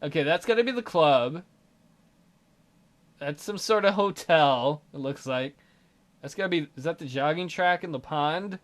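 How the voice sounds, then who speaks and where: High-voiced, animated male let's player. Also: measured pace, long pauses. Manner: joking, sarcastic. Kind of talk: casual game commentary and reading aloud.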